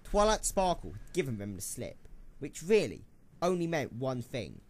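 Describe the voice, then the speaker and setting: A man's voice: medium pitch, wildly swooping pitch, normal speed, a little mellow. A man reading scripted story narration for an amateur radio play in a dramatic storytelling style.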